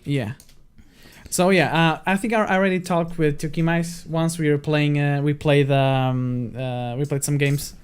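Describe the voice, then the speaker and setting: Clear, higher-pitched man co-hosting a stream. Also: fairly even intonation, a little slow. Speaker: livestream co-host. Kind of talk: casual chat.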